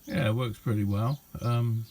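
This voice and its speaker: A middle-aged man, giving a casual, halting and unhurried hands-on demonstration as a radio hobbyist. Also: medium pitch, darkish tone, a little hiss or hum. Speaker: radio hobbyist showing a homemade receiver. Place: indoor hobby radio room.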